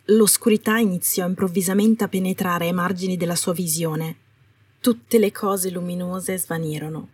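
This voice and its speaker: A woman's voice: lowish voice, darkish tone, slightly rough. A woman reading a scripted story aloud as a dramatic narrator. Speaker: podfic narrator. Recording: roomy, distant microphone.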